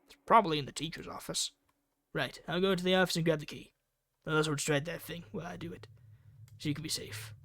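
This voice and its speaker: Man as a desperate high-voiced teenage friend. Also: fairly even intonation, a little fast, gravelly, a bit bright, no room sound, clean studio sound. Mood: apologetic, determined. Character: desperate, guilt-ridden teenage friend taking charge.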